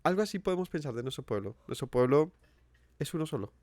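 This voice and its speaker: A man's voice: on the high side, lively intonation, fast talker, darkish tone, no room sound. A Colombian man with a Colombian accent giving an earnest sermon, asking his listeners questions and repeating phrases for emphasis. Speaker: preacher. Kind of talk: sermon teaching.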